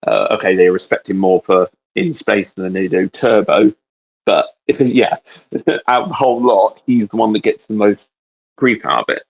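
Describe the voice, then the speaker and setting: A deep-voiced man with a distinctly husky tone co-hosts a casual hobby chat. His phrasing is halting and hedged, and the sound is a little dull. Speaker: co-host of a hobby discussion show.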